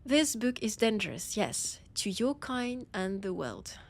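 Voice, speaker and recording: A woman playing a condescending, teasing vampire scholar in an audio roleplay, with a French accent and a breathy, husky voice that often drops to a whisper. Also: medium pitch, big pitch swings, measured pace, a little hiss or hum. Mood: darkly amused, menacing. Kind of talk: scripted audio roleplay addressed to the listener.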